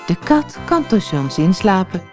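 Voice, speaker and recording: A woman's voice: medium pitch, very animated, moderate pace, over music, natural voice. A woman voicing a cheerful, optimistic old wife in a storybook fairy tale, upbeat and unbothered. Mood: cheerful, reassuring. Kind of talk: storybook character voice.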